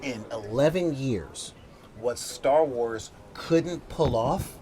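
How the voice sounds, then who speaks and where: A man's voice: medium pitch, slow, mellow. An opinionated man on a panel, debating films casually and emphatically. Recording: crowd chatter, outdoor wind on mic.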